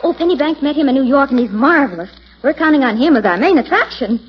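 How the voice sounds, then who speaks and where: An actress playing an eager young niece in a 1940s radio comedy. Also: female voice, high-pitched, animated, fast, soft background music. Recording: muffled 1940s radio recording.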